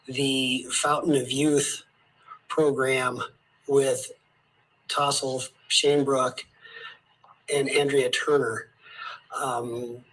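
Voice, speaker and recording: A man from Montana giving a recorded testimonial, halting and full of fillers. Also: mid-range voice, fairly bright tone, a little hiss or hum, poor audio. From Montana, USA.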